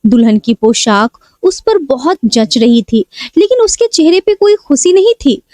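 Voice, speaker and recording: High-voiced woman narrating a story. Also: sing-song, unhurried, darkish tone, dry recording. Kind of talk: scripted dramatic story narration.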